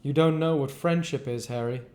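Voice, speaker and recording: Man reading as an earnest, brooding gentleman painter. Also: fairly high pitch, normal speed, close to an everyday voice. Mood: reproachful.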